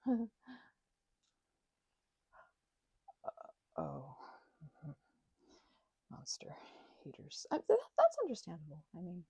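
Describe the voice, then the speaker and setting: A woman voicing a timid, grateful, apologetic vampire in an intimate audio roleplay, stammering nervously, rambling and often dropping toward a breathy whisper. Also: mid-range voice, warm tone, no echo, heavily acted. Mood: startled, confused, then nervous, earnest. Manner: nervous stammering, halting and self-interrupting.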